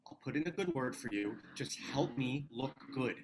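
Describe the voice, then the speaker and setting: A man playing a vain, flirtatious orc who smooth-talks and bickers playfully in a fantasy comedy table read. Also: mid-range voice, lively intonation, normal speed, a crowd in the background, lightly acted. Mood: smug, coaxing. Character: cocky, flirtatious, vain male orc charmer.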